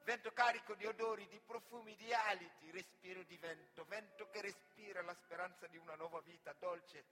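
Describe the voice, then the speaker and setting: A man from Senegal, an actor and storyteller, performing a poetic theatrical monologue in a solemn, declamatory manner with dramatic pauses. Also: sits high, steady tone, pushed and bright.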